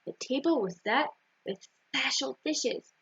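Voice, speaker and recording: Lively high-voiced woman reading a children's story. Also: unhurried, husky. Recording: dull, roomy lo-fi recording.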